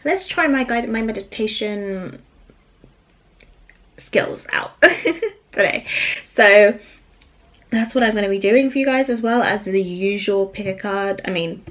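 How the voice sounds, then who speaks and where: A young woman with a soft, soothing voice, chatting casually as a tarot card reader in a small room at home, filmed by a camera across a desk. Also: mid-range voice, expressive. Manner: chatty and rambling with fillers, later slow and hushed. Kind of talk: casual pick-a-card reading, then guided meditation.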